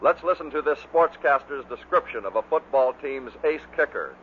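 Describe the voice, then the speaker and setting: A man's voice: medium pitch, expressive, normal speed. An American man reading scripted narration as the narrator of a compilation. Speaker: narrator of a radio bloopers compilation. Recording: muffled phone-like vintage recording, faint noise.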